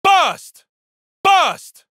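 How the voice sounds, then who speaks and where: Young strategist dude in a game fight, short bossy callouts, very animated pitch, dry close sound. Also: male voice, extremely slow, no echo, exaggerated voice. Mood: battle shouting. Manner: clipped, commanding short call-outs. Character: brainy young male tactician fighter, composed but intense. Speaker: video game voice actor. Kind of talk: video game battle barks.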